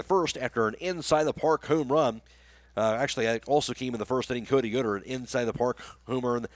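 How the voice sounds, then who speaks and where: A man's voice: medium pitch, no echo. A man working as a radio announcer, reading sponsor spots and recapping the game on a sports broadcast.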